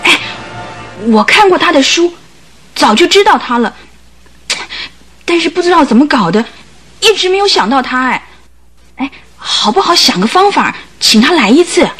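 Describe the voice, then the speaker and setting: High, plaintive tormented wife in radio drama. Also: female voice, very animated, normal speed, long pauses, fairly bright tone, faint music behind. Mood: pleading, secretive. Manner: plaintive, sighing. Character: veiled, tormented wife of a lion tamer, anxious and secretive.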